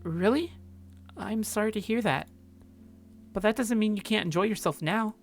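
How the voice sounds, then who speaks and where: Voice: mid-range voice, normal speed, faint music behind, voice pushed up, lightly acted. A performer reading a visual novel and voicing an upbeat young woman who earnestly coaxes her date. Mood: concerned, gentle. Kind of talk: visual novel character read-aloud.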